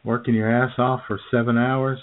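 A man's voice: medium pitch, level tone, normal speed. American man co-hosting, thin tinny audio. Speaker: radio show co-host. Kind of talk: casual comedic banter. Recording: tinny band-limited remote audio.

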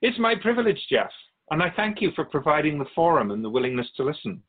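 Male actor as wise otherworldly spirit, husky voice, lively swooping pitch, gentle, cryptic, courteous, radio drama. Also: phone-quality sound. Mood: gracious. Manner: measured, philosophical, courteous.

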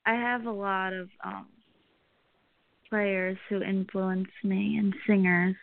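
A young woman singer answering interview questions, pausing often with many ums and small laughs. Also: mid-range voice, flat delivery, gravelly, no echo. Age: young adult. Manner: hesitant, many ums, giggly. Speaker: singer, guest. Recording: tinny phone-like line.

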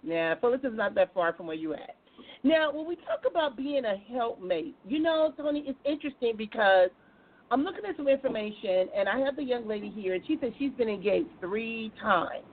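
A woman's voice: on the high side, expressive, fairly quick. A woman with an American accent hosting a casual, chatty live talk show, asking questions in an informal, folksy, conversational way. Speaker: talk show host taking calls. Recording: thin phone-like audio.

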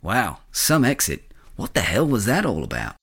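A man plays a friendly working-class bloke in a radio play. He chats in loose, colloquial phrases, sceptical and down to earth, with a very animated, up-and-down melody. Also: fairly low pitch, moderate pace, breathy edge, heavily acted. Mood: astonished. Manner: colloquial, chatty. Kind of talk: radio drama acting.

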